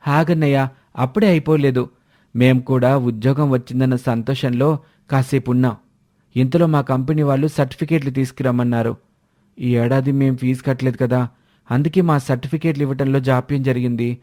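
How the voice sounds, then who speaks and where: Man acting as young brother, eager, respectful, pleading manner, mellow timbre, family tale. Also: medium pitch, steady tone, brisk, close to an everyday voice. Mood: downcast.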